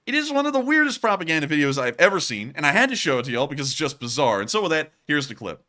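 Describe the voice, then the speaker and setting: Lively, bright-voiced male commentator. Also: a little high, quick pace. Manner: animated, talks straight to viewers, incredulous asides. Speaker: host of a war news commentary show. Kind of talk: casual commentary over video clips.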